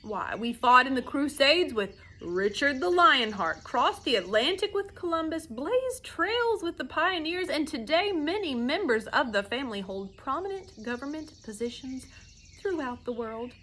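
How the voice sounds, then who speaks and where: Storybook humbug insect: elderly male, pompous, declamatory, bright and higher-pitched, played by a woman. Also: big pitch swings, heavily acted. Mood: pompous, boastful. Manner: pompous, declamatory, drawn-out delivery. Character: pompous, blustering old male humbug insect, grandiose, indignant.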